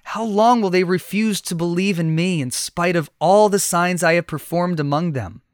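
A man voicing a solemn, aggrieved God passing judgment in grave, measured pronouncements, in a Bible story. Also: high-pitched, dry recording, studio quality, lightly acted. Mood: grave reproach.

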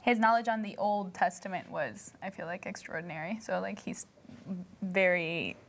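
Woman, from Colombia, mid pitch, soft-spoken panelist, faint noise. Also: normal speed.

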